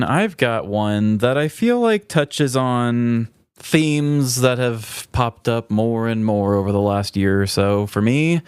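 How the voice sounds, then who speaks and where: A man's voice: mid-range voice, a little mellow, clear, resonant tone, dry recording. A man co-hosting a podcast, telling stories in a casual, comedic way. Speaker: podcast co-host. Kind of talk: casual comedic storytelling chat.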